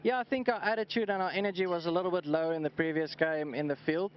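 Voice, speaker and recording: A South African man whose first language is Afrikaans, a cricketer giving a halting post-match interview at pitch side, often repeating words. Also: fairly even intonation, a little hiss or hum. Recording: slightly dull outdoor broadcast audio.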